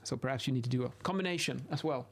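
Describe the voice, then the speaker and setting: A man in his thirties with a Swedish accent gives an informal explanation in a halting way, repeating words, close to a studio microphone in a small room. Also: medium pitch. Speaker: video host, acoustics instructor. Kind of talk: informal explainer while sketching. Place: small indoor home office. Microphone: close boom-arm studio microphone.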